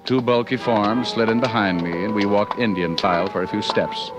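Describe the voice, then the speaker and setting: Man acting slick, low-voiced radio private eye. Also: steady tone, normal speed, lightly acted. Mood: wry narration. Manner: sardonic, flirtatious banter and first-person narration. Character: slick wisecracking male private detective, smooth, cocky. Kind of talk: 1940s radio detective acting. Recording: 1940s radio broadcast with music.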